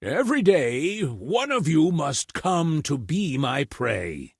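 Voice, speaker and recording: A man voicing an angry, domineering lion king with a commanding, drawn-out delivery in a children's fairy tale. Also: on the high side, very animated, vocal fry, husky, no room sound, clean studio sound, voice pushed up, full character voice.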